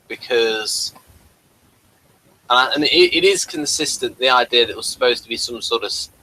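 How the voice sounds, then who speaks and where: Bright-voiced man explaining in fits and starts. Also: mid-range voice, poor audio. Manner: halting, restarts mid-thought, explanatory. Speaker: podcast host. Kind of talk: casual unscripted TV review chat.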